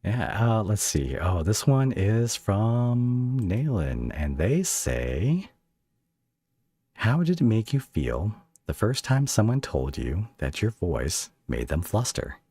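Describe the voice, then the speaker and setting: A man hosting a casual interview, conversational and a bit halting as he thinks aloud. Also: on the low side, sing-song, dark tone, husky, no echo, studio quality. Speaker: podcast host, interviewer.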